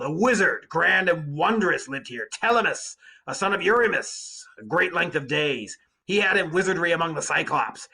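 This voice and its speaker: A man voicing a blinded giant Cyclops from an epic storybook reading, bitter and vengeful, declaiming in slow, drawn-out phrases. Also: a little high, vocal fry, sharp tone, breathy edge, exaggerated voice, low-quality recording. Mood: anguished, solemn.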